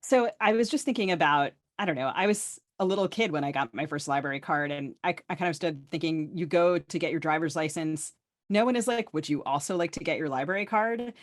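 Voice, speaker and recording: Low-pitched woman host, casual and wry. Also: fairly quick, no echo, clean studio sound. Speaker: podcast host. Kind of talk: casual conversational storytelling and questioning.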